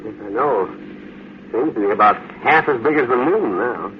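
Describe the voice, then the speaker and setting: An actor playing a self-assured, practical, skeptical American husband in a vintage radio drama. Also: male voice, medium pitch, animated, moderate pace, close to an everyday voice. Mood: calm, dismissive. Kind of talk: 1950s radio drama acting. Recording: tinny vintage radio, faint background noise.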